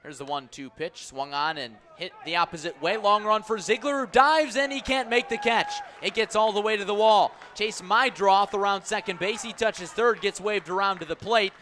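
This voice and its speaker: A man calling baseball play-by-play for radio in a live sports commentary style. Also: bright, forceful tone. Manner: measured between pitches, raised and urgent on plays. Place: stadium press area on second deck.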